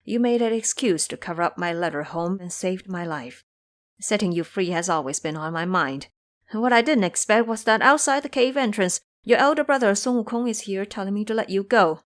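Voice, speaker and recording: A woman's voice: medium pitch, expressive, brisk, close to an everyday voice. Woman acting an earnest, emotional princess. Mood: grateful. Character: distressed noble princess, earnest mother.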